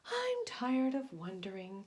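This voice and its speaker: A woman playing an animated, indignant gentle giant sea serpent. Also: a little high, slow, voice pushed up, exaggerated voice. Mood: bored, wistful. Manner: grumbling, earnest storybook delivery.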